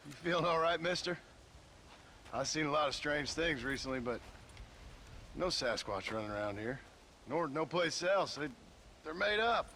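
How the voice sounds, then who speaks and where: An actor playing a rugged, weary gunslinger with an American Western accent and a dry, sardonic manner. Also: male voice, fairly high pitch, lively intonation, moderate pace, pauses often, sharp tone. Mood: wry. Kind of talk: dry Western game cutscene acting. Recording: noisy outdoor game ambience.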